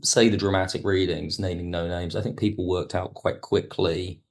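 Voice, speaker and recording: A man speaking as a producer and guest in an interview, reflective, halting and rephrasing as he explains. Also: low-pitched, little pitch movement. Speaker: audio drama producer and writer, podcast guest. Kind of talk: reflective interview talk about production work.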